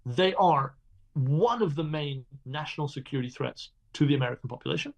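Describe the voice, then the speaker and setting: A middle-aged man speaking as an interview guest, explaining in an articulate, measured way with the odd restart. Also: on the high side, lively intonation, creaky. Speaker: guest, crime journalist.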